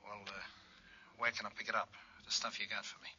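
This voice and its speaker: Shifty petty crook, 1940s radio drama: forceful sharp tone, stammering evasions, dull archive recording. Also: male voice, on the low side, flat delivery, quick pace, husky, dry recording, heavily acted. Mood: shifty, stalling. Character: nervous small-time crook and ex-convict, evasive shakedown artist.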